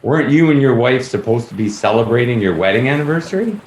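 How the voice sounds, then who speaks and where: A man's voice: medium pitch, expressive, fast talker, close to an everyday voice. A man playing a pompous, plodding, self-important police detective in a radio play. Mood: probing. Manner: pompous, repetitive, officious. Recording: echoey room, faint background noise.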